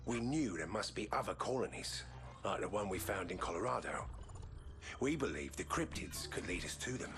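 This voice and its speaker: An actor playing a menacing, calculating mastermind in a video game, narrating gravely and often sinking toward a near whisper. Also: male voice, on the low side, lively intonation. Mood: confiding, resolute. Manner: measured, ominous, dropping to near whisper. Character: menacing, calculating male mastermind, ex-bioweapons program, grave storyteller. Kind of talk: dramatic scripted narration. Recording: loud music behind the voice. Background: cinematic game music.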